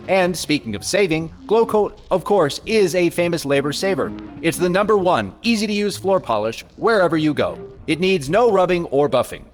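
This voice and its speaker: A man playing high-voiced old-time radio pitchman. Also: natural voice. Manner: polished, emphatic salesmanship. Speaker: announcer. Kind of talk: 1940s radio advertising read.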